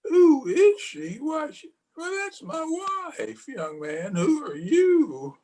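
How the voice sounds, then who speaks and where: An actor playing a feeble, forgetful old husband in a radio play, halting and repeating his words, tender and querulous. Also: very high-pitched, huge pitch swings, warm tone, heavily acted. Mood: querulous, bewildered. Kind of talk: old-time radio drama acting. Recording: home video call with music.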